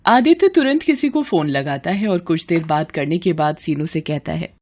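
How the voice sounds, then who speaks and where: A woman's voice: low voice, animated, normal speed, a little mellow. A woman storyteller reading a scripted story as narration.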